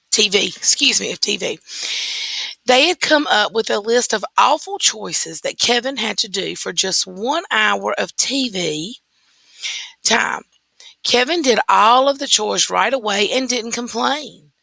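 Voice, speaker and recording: A woman reads a children's story aloud at an unhurried pace. Her voice is bright and forceful with a creaky edge, and she stresses key words deliberately in a close, dry recording. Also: mid-range voice, dry recording, low-quality recording. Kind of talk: scripted story read-aloud.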